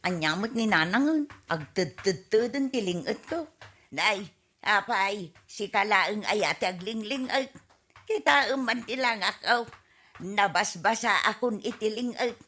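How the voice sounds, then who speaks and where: A woman's voice: medium pitch, expressive, unhurried, sharp tone. A woman with an Ilocano accent playing a nagging, complaining wife and auntie in a whiny, scolding comedy radio drama style. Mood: nagging, griping.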